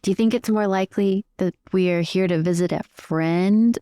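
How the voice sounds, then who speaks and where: A woman's voice: medium pitch, normal speed, warm tone, smooth, no room sound. A woman chatting casually as a player in a tabletop roleplaying game.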